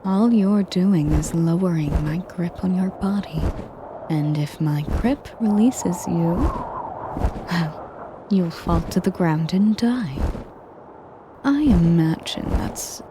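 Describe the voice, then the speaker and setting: A woman acts out an imperious dragoness who treats the listener as her possession. She speaks very slowly and formally, in a lowish, breathy voice with cruel command. It is an intimate audio roleplay over clearly noisy ambience. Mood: cold, threatening. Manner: measured, commanding, breathy delivery with formal wording. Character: sadistic, possessive, imperious female dragon kidnapper.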